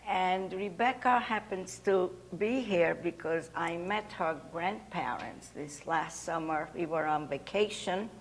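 A gravelly older woman with a lowish voice hosts a chatty studio show. Hungarian is her first language, she laughs easily, and a faint hiss sits under her lapel mic. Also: a little slow, few pauses. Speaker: host. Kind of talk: casual interview chat. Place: TV studio set.

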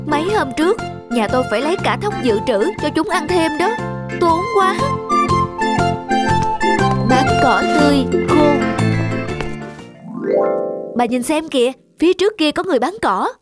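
A chatty, fretful farm woman from a cartoon village, acted by a woman. Her voice is high and expressive of every worry, over background music. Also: moderate pace. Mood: plaintive. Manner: plaintive, chatty. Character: chatty village women farmers fretting over cattle. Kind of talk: cartoon dubbing. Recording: music under the dialogue.

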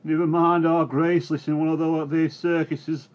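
A man's voice: on the high side, little pitch movement, normal speed, voice pushed up, close to an everyday voice. A man doing an aged rustic farmhand. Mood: indignant. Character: aged male farmhand, fond of beasts. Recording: dull recording, faint hiss.

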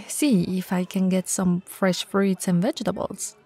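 A woman playing a tall, friendly, teasing bento shop owner in an intimate ASMR roleplay, with a breathy voice and a casual, chatty manner. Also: medium pitch, fairly even intonation, measured pace, dark tone. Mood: friendly, cheerful. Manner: casual, playful, hesitant and chatty.

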